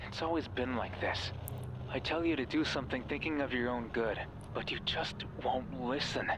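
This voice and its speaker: A man playing a mysterious, controlling guide in a horror game, speaking in a commanding, condescending, deliberate way with menace and false care. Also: medium pitch, background noise, close to an everyday voice. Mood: scolding, menacing. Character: mysterious controlling male voice guiding through a hospital, menacing, falsely caring. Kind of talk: horror visual novel voice acting. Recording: music behind the voice.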